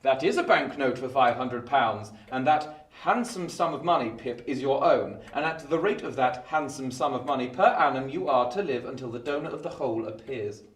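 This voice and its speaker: Man playing a brisk Victorian lawyer, wildly varied pitch. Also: animated, echoey room, recorded from a distance, close to an everyday voice. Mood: brisk, triumphant. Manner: interrogating, clipped, legalistic, commanding. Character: brisk, exacting male Victorian London lawyer. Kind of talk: audio drama acting.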